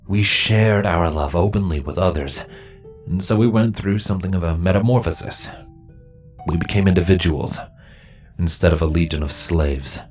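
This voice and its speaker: A man voicing a gentle adult male changeling, speaking low, soft and reassuring. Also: moderate pace, faint music behind, lightly acted.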